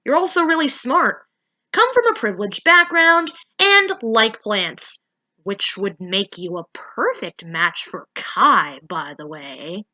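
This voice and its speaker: A woman's voice: on the high side, big pitch swings, takes it slowly, pushed and bright, exaggerated voice. A woman playing an omniscient storybook narrator who chats to a character in a breezy, placating way. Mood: encouraging.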